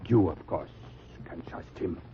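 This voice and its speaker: An actor playing an old German resistance leader, cautious and deliberate, in an old radio drama. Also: male voice, natural voice. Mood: conspiratorial. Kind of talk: 1940s-50s radio drama acting. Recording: dull, noisy old radio recording.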